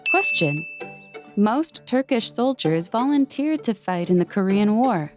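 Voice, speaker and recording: A woman's voice: medium pitch, big pitch swings, measured pace, over music. A woman announcer reading quiz questions and answers as a formal, scripted voice-over.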